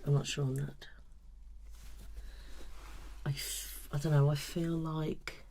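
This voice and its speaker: A woman speaking softly and breathily in ASMR style, often slipping into a whisper, musing tentatively as she assesses things as an analyst. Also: deep voice, little pitch movement, gravelly, slight background noise. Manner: tentative, musing, unhurried appraisal. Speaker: ASMR colour analyst with a client. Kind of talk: soft-spoken ASMR, partly whispered.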